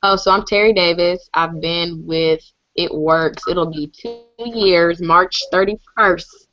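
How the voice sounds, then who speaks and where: A woman's voice: fairly low pitch, slow pace, no echo, highs cut off, faint music behind. A woman team leader introducing herself on a call, short and a little unsure. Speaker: senior sales team leader.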